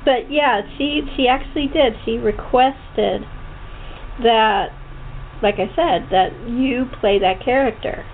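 Female host, higher voice, rambling and halting conversational delivery, thin phone-quality sound with faint noise. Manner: rambling, halting, restarts sentences often. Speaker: talk show host. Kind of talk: casual chat interview.

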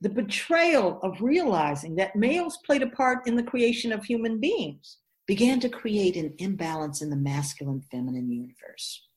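A woman voicing a wise, ancient spirit who keeps souls, teaching patiently and deliberately in a scripted radio drama. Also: mid-range voice, lively intonation, close to an everyday voice. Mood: solemn, instructive. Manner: measured, deliberate, didactic, solemn.

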